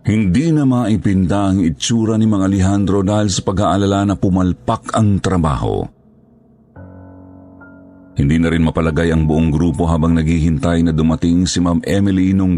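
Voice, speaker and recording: A man's voice: extremely low pitch, darkish tone. A man reading scripted first-person narration of a horror story.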